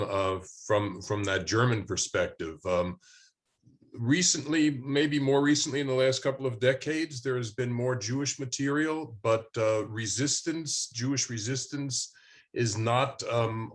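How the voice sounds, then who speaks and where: An older man, a professor, interviewing an author over a video call, talking slowly with many pauses and restarts. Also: fairly low pitch. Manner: hesitant, many fillers and restarts. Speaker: academic interviewer. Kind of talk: conversational interview question.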